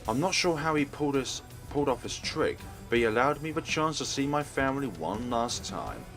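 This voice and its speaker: A man's voice: medium pitch, animated, a little fast, fairly bright tone, soft background music, voice pushed up. A man voicing a genial, self-deprecating old man at peace with death, in a visual novel read-through. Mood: cheerful, relieved.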